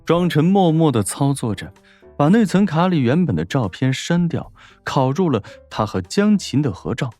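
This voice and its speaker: A man's voice: fairly high pitch, sing-song, normal speed, darkish tone, soft background music. A man narrating a scripted story as an audiobook narrator, in a dramatic storytelling style.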